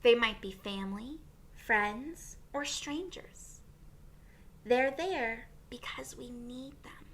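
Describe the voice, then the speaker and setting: Slow, high-voiced woman reading to children. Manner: expressive, pausing and asking questions to young listeners. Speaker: children's read-aloud teacher and host. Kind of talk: picture-book read-aloud for young children.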